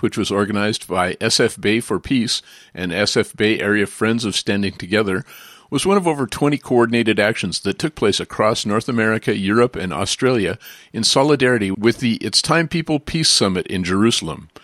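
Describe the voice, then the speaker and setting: A man reading a scripted radio news introduction in a measured, formal way. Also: on the low side, fairly bright tone, dry recording, clean studio sound.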